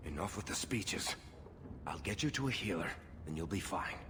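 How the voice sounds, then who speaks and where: A man's voice: low voice, lively intonation, normal speed, background noise. A man playing a sardonic, loyal dwarf rogue who wisecracks, in a video game cutscene. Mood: weary, urgent.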